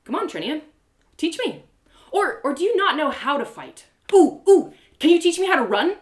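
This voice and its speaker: A woman's voice: high-pitched, big pitch swings, normal speed, voice pushed up. A woman voicing a plucky, teasing young heroine who is learning to fight, in an audio drama. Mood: taunting. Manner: teasing, eager. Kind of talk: one-woman audio drama character acting.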